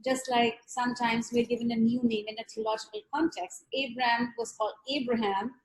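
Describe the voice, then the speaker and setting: A woman from Pakistan with a high voice talks about her artwork over a video call. She is earnest and reflective and repeats words to stress them. The sound comes through a call connection. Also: steady tone, fairly quick, poor audio. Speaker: artist. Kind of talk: reflective interview talk.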